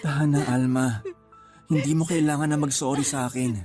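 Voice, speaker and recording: A man acting a patient, steadfast husband in a radio drama, earnest and gently reassuring. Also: a little high, steady tone, fairly quick, lightly acted. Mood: earnest, pained. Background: music.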